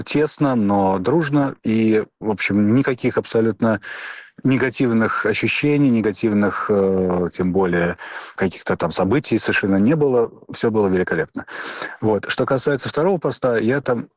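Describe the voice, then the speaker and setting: A middle-aged man from Russia answering interview questions spontaneously, in a halting, digressive way, often rephrasing himself. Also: on the low side, no room sound. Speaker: interview guest, online library keeper.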